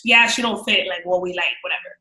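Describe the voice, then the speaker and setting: Bright-voiced young woman with a New York accent. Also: on the low side, fast, echoey room. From New York, raised in Miami. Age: young adult. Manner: animated, slangy, quotes people in voices. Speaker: guest actress. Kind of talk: casual storytelling chat.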